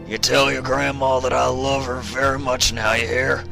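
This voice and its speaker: A man voicing a grizzled old outlaw stallion, a regretful, tender grandfather in a Western storybook, breathy and grave, over music. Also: medium pitch, level tone, normal speed, voice pushed up, close to an everyday voice. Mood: strained calling.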